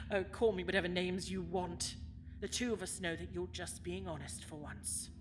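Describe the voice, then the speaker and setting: A woman's voice: fairly low pitch, fairly even intonation, measured pace, background noise, close to an everyday voice. A woman playing a cold, bitter villainess who rules a dungeon, speaking with haughty, theatrical menace in a fantasy audio drama. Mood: bitter, angry. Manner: haughty, menacing, theatrical, swinging from hushed to forceful.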